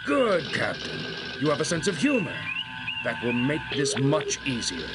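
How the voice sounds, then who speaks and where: An actor playing a defiant, mocking space pirate leader in a video game, with declamatory, taunting delivery. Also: male voice, medium pitch, huge pitch swings, unhurried. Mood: smug, threatening. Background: loud music.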